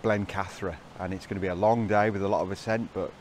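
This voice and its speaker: A middle-aged man talks straight into a handheld camera out on an open hillside. His voice sits fairly low and he keeps it chatty and upbeat. A faint outdoor wash sits behind him. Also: steady tone, quick pace, a little hiss or hum. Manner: chatty, upbeat, laughs between remarks. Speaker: hiking vlogger. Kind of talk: casual vlog narration. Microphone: handheld camera at arm's length.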